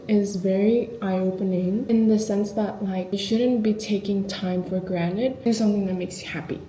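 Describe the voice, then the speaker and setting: A woman's voice: mid-range voice, warm tone, reverberant, slight background noise, low-quality recording. A woman in her twenties talking in a reflective, confiding, chatty way in a personal diary vlog. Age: 20s. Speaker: vlogger.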